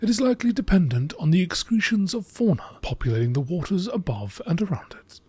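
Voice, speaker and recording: A man narrating scripted nature documentary entries in a measured, formal, deliberate way, with a husky, breathy voice. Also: high-pitched, sing-song, warm tone. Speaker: narrator reading game lore entries.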